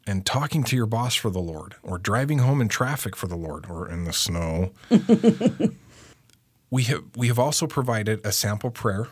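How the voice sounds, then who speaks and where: A man's voice: fairly low pitch, very animated, moderate pace, slightly rough, dry recording, clean studio sound. A man co-presenting a religious self-help lesson in an earnest, instructive manner.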